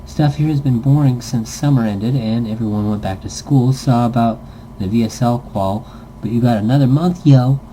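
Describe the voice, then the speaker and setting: A man's voice: medium pitch, normal speed, dark tone, slight background noise, voice pushed up, natural voice, rough recording. Man putting on a raised, chummy gamer bro. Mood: chummy, upbeat. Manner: slangy, bro-like. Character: laid-back young male gamer fan, slangy and chummy. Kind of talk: reading fan mail in a visual novel.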